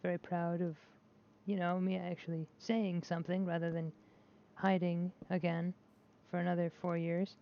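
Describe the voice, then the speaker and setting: A woman's voice: fairly low pitch, little pitch movement, moderate pace. A woman vlogging casually while she plays a game, talking in a rambling, hesitant way with many restarts and filler words, candid and self-deprecating. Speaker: gaming vlogger. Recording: dull lo-fi mic with faint noise.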